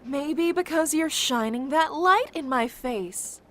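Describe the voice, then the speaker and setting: A woman's voice: sits high, expressive, takes it slowly, fairly bright tone, heavily acted. A woman playing a weary, touchy young survivor in a zombie audio drama. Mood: irritated, evasive.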